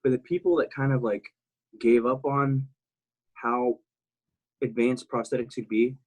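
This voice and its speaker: A man's voice: mid-range voice, little pitch movement, a little slow, slightly rough, low-quality recording. A man in his thirties from South Texas, a military veteran talking as an interview guest, rambling and blunt, with many restarts and fillers. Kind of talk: casual interview talk.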